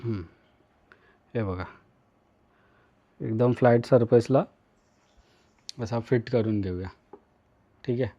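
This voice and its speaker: A man's voice: mid-range voice, slow, pauses often, warm tone, dull audio. A man with an Indian accent from Maharashtra gives a casual hands-on tutorial, talking to viewers in short, repeated instructional phrases. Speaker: vlogger, tutorial host.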